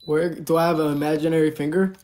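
A young man hosting a phone livestream, teasing his guest and shouting out viewers who send gifts. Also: a little high, level tone, fast talker. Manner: boastful, teasing, shouting out gifters. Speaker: livestream host. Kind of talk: casual livestream banter. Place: indoor room. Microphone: phone at arm's length.

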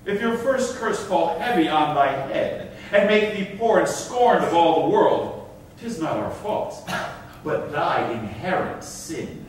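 A man as a pompous governor, high-pitched and swooping. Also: big pitch swings, slow pace, full character voice. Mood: sanctimonious scolding. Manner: declamatory, pompous. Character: stern, sanctimonious, unyielding governor of Malta. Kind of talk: theatrical staged reading of Elizabethan verse. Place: theatre stage in a hall. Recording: echoey hall, distant mic, faint noise.

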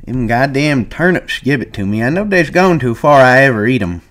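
A man's voice: medium pitch, expressive, moderate pace, a bit bright, voice pushed up, lightly acted. A man voicing an old ranch swamper with a thin, complaining voice. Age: older. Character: old male ranch swamper, whiny and sickly.

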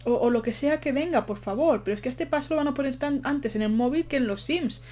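A woman's voice: high voice, brisk, a little mellow, a little hiss or hum. Chatty woman, rambling and short of breath. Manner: chatty, rambling, self-mocking asides. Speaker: game build commentator. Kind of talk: casual voice-over while building in a game.

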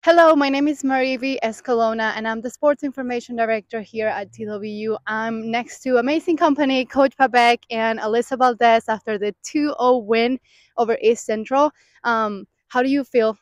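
A young woman hosting a post-game interview on a soccer field at night, asking friendly, halting questions. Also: on the high side, fairly even intonation, no room sound, clean studio sound. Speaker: sports information director interviewer.

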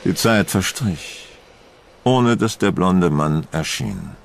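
A man narrating a scripted horror audio drama in a suspenseful, deliberate storytelling manner. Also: sits low, expressive, creaky, slight background noise.

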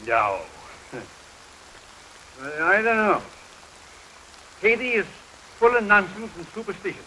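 An old missionary doctor from an early talkie, kindly but doubtful. He halts and rambles in a bright, lively voice. The old soundtrack hisses, with rain behind it. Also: high-pitched, slow, exaggerated voice, low-quality recording. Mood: musing. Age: older. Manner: rambling, hesitant, punctuated with stammers. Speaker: film actor. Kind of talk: early 1930s film acting. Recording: hissy 1932 film soundtrack, rain behind.

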